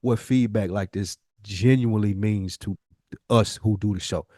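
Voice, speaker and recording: Low-voiced man co-hosting a podcast, closely recorded. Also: normal speed, dark tone, dry recording, clean studio sound. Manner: slangy, candid. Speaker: podcast co-host. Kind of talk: casual conversational podcast chat.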